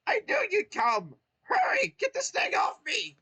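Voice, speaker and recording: A man voicing an injured, frantic male mushroom retainer who shrieks and yells. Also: huge pitch swings, measured pace, full character voice. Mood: excited. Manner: shrill, yelling.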